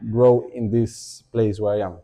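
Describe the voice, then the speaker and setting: A young man with an Argentine Spanish accent, Spanish as his first language, talking as a podcast guest in a thoughtful way, pausing to think and often restarting his sentences. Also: lowish voice, fairly even intonation, mellow. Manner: reflective, thinking aloud with many fillers and restarts. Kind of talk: casual podcast conversation.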